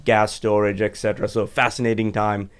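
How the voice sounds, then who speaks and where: Low, even-toned man telling his story. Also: smooth. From Sri Lanka. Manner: measured, steady storytelling with frequent uh and um fillers. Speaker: guest, energy executive. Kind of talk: conversational interview. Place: podcast studio.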